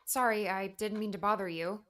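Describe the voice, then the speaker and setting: A woman's voice: mid-range voice, fairly even intonation, moderate pace, fairly bright tone, close to an everyday voice. An actress playing a timid, sad and curious young woman, speaking hesitantly in an audiobook drama. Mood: timid, tentative.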